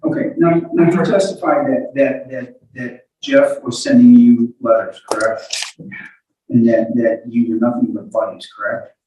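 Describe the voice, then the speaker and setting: A man questioning a witness as a lawyer in court, halting and repeating words as he forms his questions. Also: mid-range voice, fairly even intonation, warm tone, slight background noise. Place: indoor courtroom. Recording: echoey courtroom, distant microphone.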